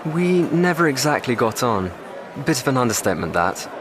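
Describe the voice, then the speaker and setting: Young English private played by a man, educated, wordy and drily ironic, wartime radio drama, clear outdoor noise behind him. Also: medium pitch, very animated, moderate pace, lightly acted. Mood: dry, composed. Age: young adult. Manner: formal, wordy, dryly ironic. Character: educated, articulate young English private in First World War. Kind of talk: audio drama acting.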